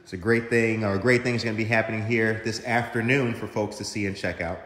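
Bright-voiced man hosting in an echoey room. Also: fairly low pitch, steady tone, a little fast. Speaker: host. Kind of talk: casual morning show interviewing. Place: large wood-paneled room indoors.

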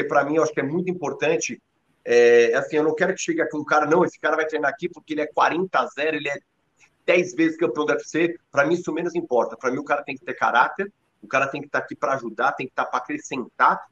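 A man in his thirties with a Brazilian Portuguese accent, speaking emphatically over a video call from his living room. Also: a little high. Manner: energetic, emphatic, many fillers. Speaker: MMA team leader and coach. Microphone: laptop webcam on video call. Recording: dull lo-fi call audio.

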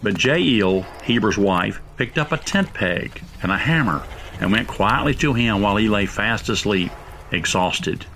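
A man narrating a scripted Bible story drama in a measured, solemn way. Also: lowish voice, expressive, a bit bright, a little hiss or hum.

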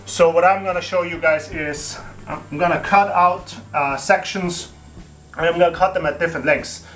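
A man's voice: on the high side, fairly even intonation, moderate pace, a bit bright. A man in his thirties hosting a home renovation tutorial, explaining steps casually with fillers and restarts in an empty wooden attic room.

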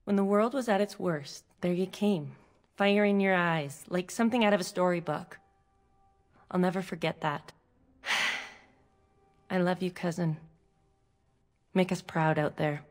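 An actress playing a warm, resilient young elf woman in a video game, heartfelt and sincere. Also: on the low side, unhurried, pauses often, soft background music, natural voice. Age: young adult.

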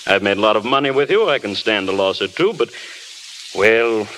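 A fatherly film producer in a 1930s radio drama. His voice is bright and firm, earnest and worried as he pleads. Faint old-broadcast hiss runs behind him. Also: lowish voice, lively intonation, moderate pace, poor audio.

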